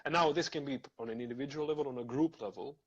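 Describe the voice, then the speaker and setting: A man's voice: medium pitch, quick pace, lo-fi sound, a crowd in the background. A man from Serbia, talking things through thoughtfully in a casual live video chat, with many fillers and restarts.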